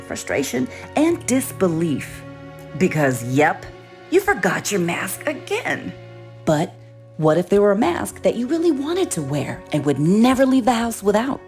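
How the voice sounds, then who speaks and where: A woman's voice: medium pitch, big pitch swings, a little slow, a little mellow, faint music behind. A woman voicing a salesy announcer in a parody commercial.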